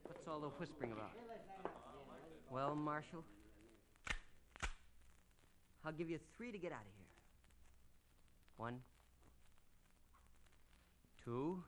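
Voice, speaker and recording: An actor playing a hot-headed, defiant young ex-convict gunslinger in an old radio western. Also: male voice, on the high side, animated, a little slow, long pauses. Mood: threatening. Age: young adult. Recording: noisy vintage radio recording.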